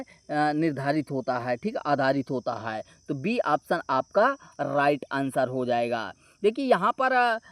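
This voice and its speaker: A man's voice: high-pitched, dry recording. A man explaining exam questions and answers as a coaching teacher, restating points and checking in with listeners. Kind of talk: explanatory exam solution walkthrough.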